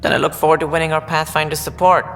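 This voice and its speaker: Pompous, bright-voiced alien director. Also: male voice, fairly high pitch, flat delivery, fast talker, gravelly. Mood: indignant. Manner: formal, pompous, officious. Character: pompous, fussy male alien director, self-important politician. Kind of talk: video game dialogue acting. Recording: reverberant hall with background noise.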